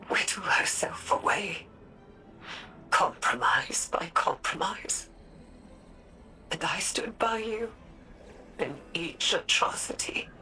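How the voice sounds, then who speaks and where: A woman playing a grieving, resolute scientist in a dramatic game cutscene, speaking gravely and with intensity. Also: lowish voice, bright, forceful tone, full character voice, low-quality recording. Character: grieving, resolute female scientist, wounded by betrayal.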